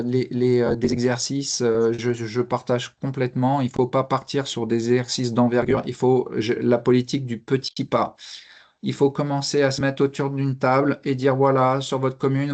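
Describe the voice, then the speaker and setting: A man speaking spontaneously as a panelist in a professional discussion, halting and often repeating words as he explains. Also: mid-range voice, a little mellow. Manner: hesitant, halting delivery with frequent repeated words. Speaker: fire service officer and trainer speaking as panelist.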